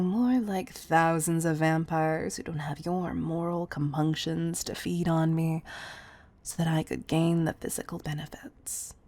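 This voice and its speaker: A woman's voice: sits low, darkish tone. A woman playing a condescending billionaire CEO who gives commanding tough love in an intimate audio roleplay.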